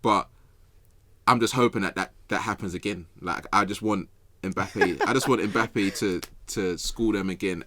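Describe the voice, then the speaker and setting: A man's voice: fairly low pitch, fairly bright tone, dry recording. A man on a football podcast, chatting in casual slang as an emphatic fan who often repeats his phrases.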